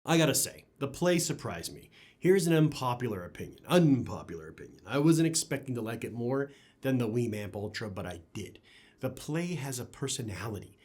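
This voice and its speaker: A man's voice: mid-range voice, very animated, a little fast, a little mellow, slightly rough. A man hosting a product review channel, talking in a conversational, opinionated way with emphatic phrasing. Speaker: audio gear reviewer and channel host.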